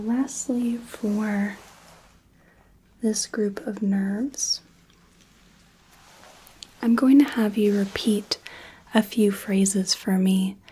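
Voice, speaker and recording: A woman whispering softly as an ASMR roleplay clinician, giving gentle step-by-step instructions. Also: medium pitch, breathy edge. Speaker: ASMR creator playing an examining clinician.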